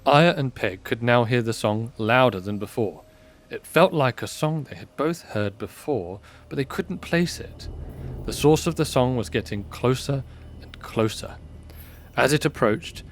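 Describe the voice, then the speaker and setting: A man's voice: mid-range voice, slight background noise. A man reading scripted story narration as the narrator of an audio drama.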